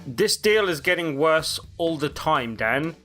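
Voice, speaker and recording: Co-host, British man, bright sharp tone, slightly higher voice, hesitant musing. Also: lively intonation, measured pace, faint music behind. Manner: hesitant, thinks aloud. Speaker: podcast co-host. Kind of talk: casual banter.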